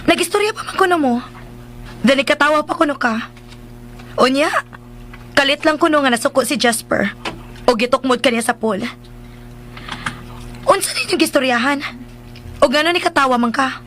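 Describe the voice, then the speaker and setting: An inquisitive young Filipino daughter, high in pitch. Her delivery is pointed and questioning, in radio drama style. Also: normal speed, pauses often, a bit bright, a little hiss or hum. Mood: probing, annoyed.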